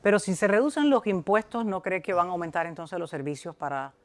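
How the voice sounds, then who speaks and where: A woman hosting a talk show, interviewing a guest in a fluent, chatty manner and quickly prompting him. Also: fairly low pitch, lively intonation.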